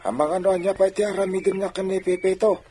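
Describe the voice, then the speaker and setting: A man as an older father in a radio drama, high-pitched and grumbling. He hums and hesitates, reluctant to act, over noticeable background noise. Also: steady tone, quick pace, mellow, natural voice. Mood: hesitant, grumbling.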